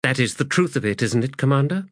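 A man voicing a reflective, soft-hearted woman with an earnest, musing manner, in an audiobook. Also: medium pitch, expressive, fast talker, no echo, clean studio sound, voice pushed up, close to an everyday voice.

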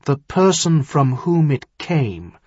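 A man playing a proud younger nobleman who is quick to take offence, sharp and demanding, in an audiobook. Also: a little high, animated, husky, no room sound, muffled recording, voice pushed up. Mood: suspicious. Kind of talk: audiobook character dialogue.

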